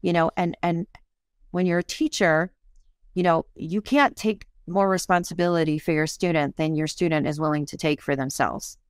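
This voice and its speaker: A woman's voice: lowish voice, steady tone, moderate pace, smooth, no room sound, clean studio sound. A woman in her fifties, a parenting coach and author, talking earnestly and reflectively in a podcast interview, with self-deprecating honesty.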